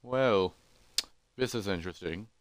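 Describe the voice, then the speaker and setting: A man voicing a calm humanoid elephant adventurer who mutters casually and thoughtfully to himself in a story. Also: lowish voice, a little slow, no echo, voice pushed down, natural voice. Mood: musing, mild.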